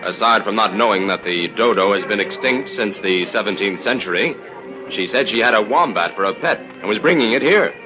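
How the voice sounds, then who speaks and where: An actor playing a learned, teasing detective hero with a schoolteacherly manner in an old-time radio drama. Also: male voice, lowish voice, expressive, a little fast, natural voice. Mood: wry, teasing. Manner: schoolteacherly, wry. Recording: muffled vintage radio recording, music behind.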